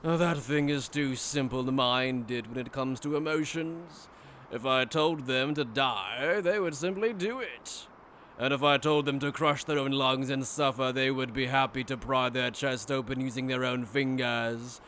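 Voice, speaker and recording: A man's voice: mid-range voice, a little slow, fairly bright tone, slight background noise, close to an everyday voice. A man playing a cruel, mocking aristocratic villain in an anime fan dub, with pompous, condescending, theatrical delivery. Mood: coldly disdainful. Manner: pompous, theatrical, sneering formal speech.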